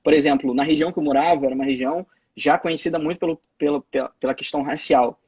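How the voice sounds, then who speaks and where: A man's voice: on the high side, fairly even intonation, very fast, a little mellow, slightly rough, no echo, dull audio. A young Brazilian man in his twenties, a guest telling stories in a casual interview, with many restarts and repeated words. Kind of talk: casual interview over a live video call. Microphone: phone or laptop mic on a live stream.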